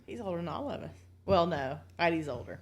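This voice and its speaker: A woman's voice: fairly low pitch, big pitch swings, a little fast. A woman in her forties talking candidly and casually as a podcast guest, often poking fun at herself. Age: 40s. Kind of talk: casual chat.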